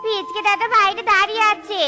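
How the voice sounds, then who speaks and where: Woman as a very high-voiced nagging cartoon fox wife. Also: fairly even intonation, fairly quick, over music. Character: scolding, homely fox wife and village mother. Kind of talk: animated children's cartoon dialogue.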